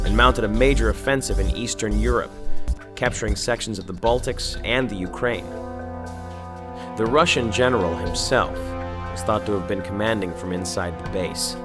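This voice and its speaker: A man acting as a war reporter in a military video game, narrating in a fairly low voice. He sounds grave and reflective, like a scripted documentary, with music clearly playing behind him. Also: lightly acted.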